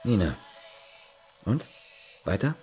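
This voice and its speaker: Raspy, probing, sceptical man. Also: lowish voice, sing-song, takes it slowly, dark tone, soft background music, heavily acted. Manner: probing, terse. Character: sceptical, probing East German man loyal to his state. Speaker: radio drama actor. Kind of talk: naturalistic German radio play dialogue.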